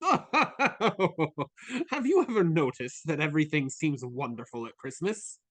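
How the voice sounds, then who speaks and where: A man's voice: on the high side, big pitch swings, slow, a bit bright, no room sound, heavily acted. A man playing a jolly, kindly Christmas spirit in a live dramatic reading, hearty and festive. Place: remote video call at home. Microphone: video call microphone.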